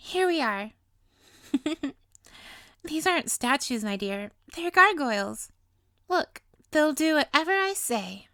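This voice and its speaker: A woman's voice: sits high, sing-song, takes it slowly, long pauses, sharp tone, no room sound, heavily acted. A woman playing a sweet, playful, possessively lovestruck young witch in an intimate audio roleplay, with coy, doting, theatrical delivery. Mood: gleeful, proud.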